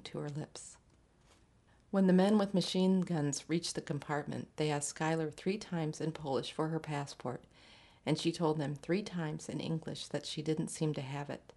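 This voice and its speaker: A woman reading a literary short story aloud in a measured, scripted narration. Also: deep voice, steady tone, dark tone. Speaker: story reader.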